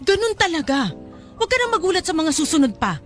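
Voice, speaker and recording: A woman's voice: extremely high pitch, sing-song, fast talker, faint music behind. A woman playing a domineering mother in a radio drama, nagging and cutting in her delivery. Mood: stern, pressuring. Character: domineering, scolding mother pushing daughter toward money. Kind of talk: Tagalog radio drama acting.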